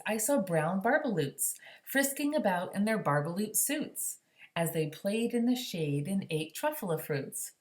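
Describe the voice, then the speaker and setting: A woman reading a children's story aloud expressively, pausing to explain it in simple words. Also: on the low side.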